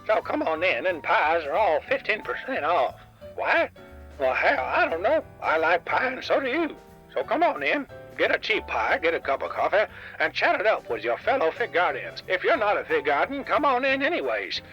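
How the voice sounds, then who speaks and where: A man's voice: high voice, very animated, moderate pace, pushed and bright, highs cut off, faint music behind, full character voice. An actor playing a reluctant, folksy diner owner who rambles offhand through his own comedic radio advert. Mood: offhand, rambling.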